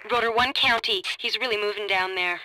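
A woman playing a brisk, composed police dispatcher in a video game, speaking in clipped procedural phrases over the radio. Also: medium pitch, bright, forceful tone. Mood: businesslike. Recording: noisy police radio, clicks.

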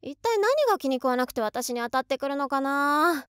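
An actress playing an overworked, excitable office woman in her twenties who is a devoted fan, musing aloud expressively in a voice drama. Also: sits high, a little fast, no room sound, studio quality. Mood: irritated, weary. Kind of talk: Japanese situation voice drama monologue.

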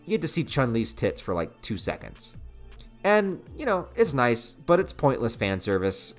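A man in his thirties with an American accent narrating a casual, opinionated review in an informal, enthusiastic, slangy way. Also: mid-range voice. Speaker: video reviewer. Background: background music.

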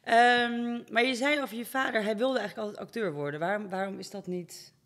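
A woman's voice: a little high, a little fast. A young adult woman hosting a conversational interview and putting questions to her guest. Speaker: interviewer, host. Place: hair salon, indoors. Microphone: studio microphone on a stand, close.

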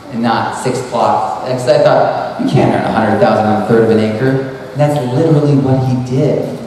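A man's voice: medium pitch, a little mellow, slight background noise. Casual man talking in an echoey hall. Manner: rambling, casual, full of "like" and restarts. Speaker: speaker. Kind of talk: conference talk. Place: large hall at an expo. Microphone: handheld mic. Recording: echoey hall recorded from far off.

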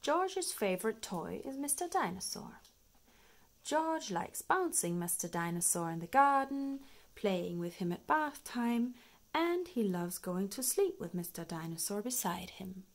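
A woman storyteller reading a children's picture book aloud in a measured, animated way. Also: medium pitch. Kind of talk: slow expressive read-aloud for young children.

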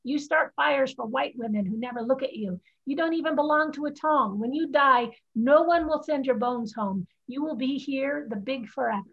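Woman playing proud gifted teenage girl, blunt formal phrasing, high husky voice, staged play reading, muffled video-call audio. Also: moderate pace, few pauses. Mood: cutting. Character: sharp-tongued fifteen-year-old Chinese girl, proud gifted linguist.

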